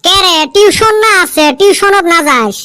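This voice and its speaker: A woman's voice: extremely high pitch, expressive, moderate pace, sharp tone, dry recording, exaggerated voice. A woman voicing a lazy, wisecracking village schoolboy in a comedy cartoon, with a western Assam accent. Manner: wisecracking, grumbling.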